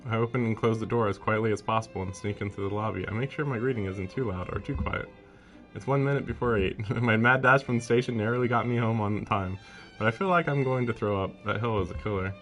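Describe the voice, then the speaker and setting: A man with a slightly low, forceful voice streams a visual novel. He moves between reading the story aloud and casual, wry commentary, sounding openly incredulous. Soft music plays behind him. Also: fast talker. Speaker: live streamer. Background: game music with strings.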